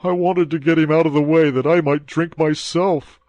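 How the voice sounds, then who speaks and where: A terrified servant, voiced by a male reader, blurts out his words, trembling and loud, well above the reader's natural pitch. The sound is close and dry. He is a cowering storybook figure. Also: steady tone, moderate pace, no echo, close to an everyday voice. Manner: trembling with terror.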